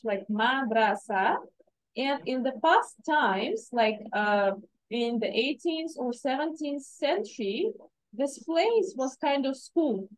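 A woman presents to a class over a video call. She speaks in a fairly high voice and explains things patiently, with many fillers. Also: low-quality recording. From Uzbekistan. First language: Russian. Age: 30s. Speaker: English teacher.

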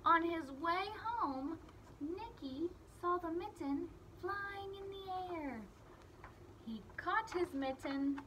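A woman's voice: sits high, a bit bright, slight background noise, poor audio. A young woman reading a picture book aloud to small children, in simple phrases with emphasized key words. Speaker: children's read-aloud storyteller.

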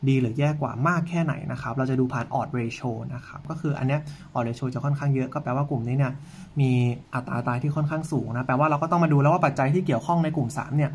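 A man's voice: medium pitch, steady tone, fast, slight background noise. A young man from Thailand, a medical intern, talking in a casual, polite vlog style and explaining things step by step to a handheld phone camera. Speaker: medical intern vlogging his research stay. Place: indoors in a library and outdoors in snow. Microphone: handheld phone camera, selfie framing. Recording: voice over background music.

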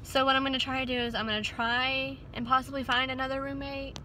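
A woman's voice: high-pitched, level tone, fast talker, sharp tone. Bubbly young woman vlogging in car. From Texas. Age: young adult. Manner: bubbly, rambling, lots of "like" fillers. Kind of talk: casual chatty vlog. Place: parked and driving car. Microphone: phone camera held at arm's length. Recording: phone audio with car and street noise.

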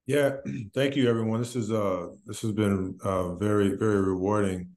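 Halting low-voiced Midwestern man in echoey room. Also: steady tone, darkish tone. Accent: American Midwestern. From Minneapolis, United States. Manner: halting, many fillers and restarts. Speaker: PhD student, panel attendee. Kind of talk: casual spoken comment on a video call. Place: small bare room. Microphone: video call webcam.

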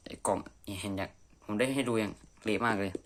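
A man's voice: lowish voice, fairly even intonation, quick pace, fairly bright tone. A man chatting informally and unscripted as an amateur reviewer, thinking aloud in a room at home. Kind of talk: casual unscripted review chat. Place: messy room indoors. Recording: clearly noisy amateur home recording.

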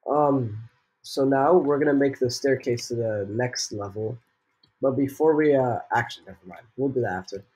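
A man's voice: mid-range voice, normal speed, a little mellow, slightly rough, poor audio. A teenage boy voicing a casual building tutorial while sick, rambling with fillers and jokey asides. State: sick, voice a bit off. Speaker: gaming tutorial host.

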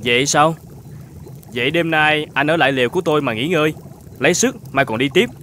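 An actor playing a kind, humble older shepherd in an animated folk tale, speaking politely and gently. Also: male voice, on the high side, fairly quick, a little hiss or hum. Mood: kind, gentle. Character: kind, humble older shepherd, hospitable, fearful under threat.

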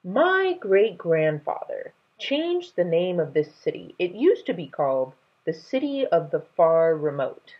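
A kindly storybook king, read by a woman, regal and measured. The voice is husky and swings widely with emphasis. The recording is slightly dull. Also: on the low side, big pitch swings. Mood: explaining. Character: kindly, regal male king telling family history.

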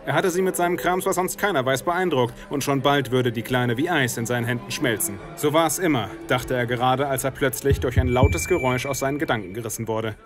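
A man's voice: medium pitch, brisk, hardly any pauses. Guy reading a comedy detective audiobook, medium voice, music behind. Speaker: audiobook narrator. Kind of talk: scripted narration of a comic detective story.

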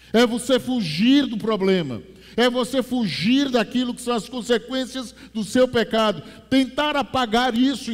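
Preaching Brazilian pastor in a reverberant church. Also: male voice, very high-pitched. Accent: Rio de Janeiro Portuguese. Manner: preaching with rising emphasis, then slow and hushed. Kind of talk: sermon. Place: large church stage with a drum kit. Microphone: headset.

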